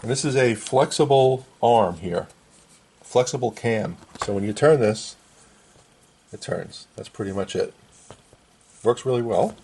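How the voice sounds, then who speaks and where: A man's voice: medium pitch, a little slow, long pauses, darkish tone, husky. A middle-aged man casually explaining a hands-on repair at an indoor workbench in short, plain phrases. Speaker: vintage radio restorer and presenter.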